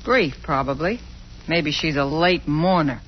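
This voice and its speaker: On a muffled old radio recording with some hiss, a hard-boiled gang moll. She speaks slowly, with curt, cold sarcasm in 1940s radio style. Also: low-pitched. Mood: cold, sardonic. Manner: curt, sardonic. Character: hard-boiled, sardonic gangster woman, curt and unfriendly.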